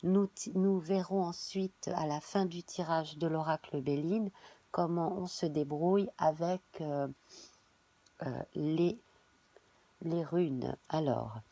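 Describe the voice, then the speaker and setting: A woman, reading a monthly tarot spread while she has a cold, halting and filling pauses. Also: low-pitched, warm tone. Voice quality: hesitant, many fillers and restarts. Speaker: tarot reader.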